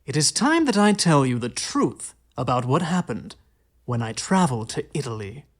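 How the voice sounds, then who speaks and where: An actor playing an excitable, romantic young Parisian gentleman in an audio drama, with a grandiloquent, dramatic way of talking. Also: medium pitch, moderate pace. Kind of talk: audio drama acting, storytelling.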